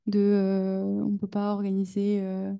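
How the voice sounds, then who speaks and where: A young woman answering casually in a Q&A, speaking in a flat, tired way with fillers. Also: medium pitch, a little slow, smooth. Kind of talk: casual Q&A exchange.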